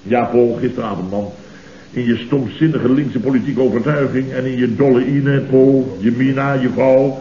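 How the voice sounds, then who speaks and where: An actor playing a long-winded, aggrieved office boss in an old comedy radio play, theatrical and pompous. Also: male voice, mid-range voice, steady tone, normal speed, warm tone, a little hiss or hum, close to an everyday voice. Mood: pompous, indignant. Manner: theatrical, pompous, repeating his listener's name. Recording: old roomy, muffled radio recording.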